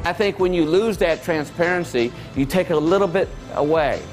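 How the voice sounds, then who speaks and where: Keynote speaker, late 40s, animated storyteller, slightly high voice. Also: male voice, moderate pace, faint music behind.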